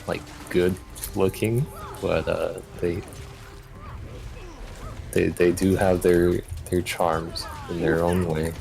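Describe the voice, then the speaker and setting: A man chatting casually as a co-player during a game, feeling his way through sentences with halting, hesitant phrasing. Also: low-pitched, level tone, gravelly, a little mellow, faint music behind. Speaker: co-player in a let's play. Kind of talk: casual gaming chat.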